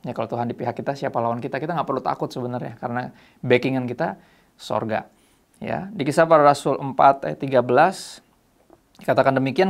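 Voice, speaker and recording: A man in his thirties hosting a youth devotional show, explaining Bible passages in a relaxed teaching manner and reading verses aloud. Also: medium pitch. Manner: reads scripture aloud between casual remarks. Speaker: host. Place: small dark studio set, indoors.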